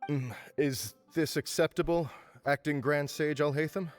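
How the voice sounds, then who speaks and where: A man's voice: fairly high pitch, steady tone, measured pace, soft background music, close to an everyday voice. A man voicing a formal, fussy administrator in a video game, speaking deferentially and sometimes scolding.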